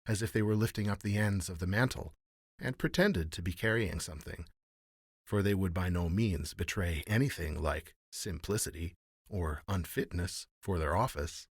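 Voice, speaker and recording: A man reading a fairy tale as a storyteller, in expressive, formal, theatrical narration. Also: sits low, normal speed, slightly rough, no room sound, studio quality.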